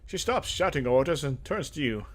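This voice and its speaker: A man's voice: mid-range voice, expressive, moderate pace. A man hosting a gaming video, switching between dramatic reading of game text and casual, rambling commentary. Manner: hesitant, rambling asides between theatrical narration.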